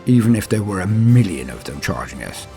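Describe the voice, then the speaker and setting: A man's voice: low voice, very animated, dark tone, faint music behind. A man reading as a grim space marine veteran. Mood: resolute. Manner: measured, grim, matter-of-fact storytelling. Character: proud battle-hardened male space marine veteran. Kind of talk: first-person fiction reading.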